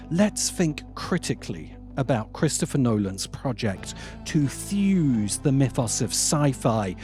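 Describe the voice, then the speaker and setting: A man narrating a scripted video essay with deliberate, emphatic phrasing and dramatic pauses. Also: on the high side, dark tone.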